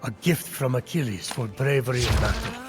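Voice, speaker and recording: An actor playing a grave, patient titan who narrates a game's tale in a measured, formal, dramatic way. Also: male voice, medium pitch, faint music behind, lightly acted. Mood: solemn, dramatic. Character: Prometheus, grave, patient male titan storyteller.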